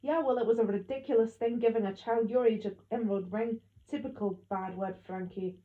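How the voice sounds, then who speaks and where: A woman's voice: medium pitch, fairly even intonation, normal speed, phone-quality sound, lightly acted. A woman voicing a cross, brusque old grandmother in an audiobook, snapping and scolding. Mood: scornful.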